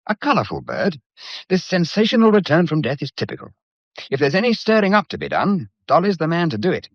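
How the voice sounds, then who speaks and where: An actor playing a flippant, charming British gentleman in a period mystery drama, breezy, teasing and sardonic. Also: fairly high pitch, wildly swooping pitch, fairly quick, no room sound, highs cut off, heavily acted. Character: wry, flippant gentleman, charming self-styled old cad.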